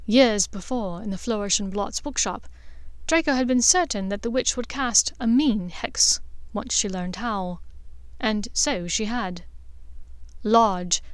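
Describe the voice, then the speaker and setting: A woman's voice: mid-range voice, clear, resonant tone, no room sound. A woman reading a scripted story as an audiobook narrator. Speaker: audiobook narrator of fan fiction.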